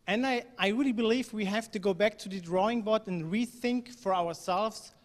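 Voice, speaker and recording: A man from Germany gives a talk at a conference, his voice a touch higher than most men's. He speaks in a relaxed, conversational way, full of ums and restarts. His voice rings with the long reverb of a large hall. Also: moderate pace. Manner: conversational, fillers, occasional non-native phrasing.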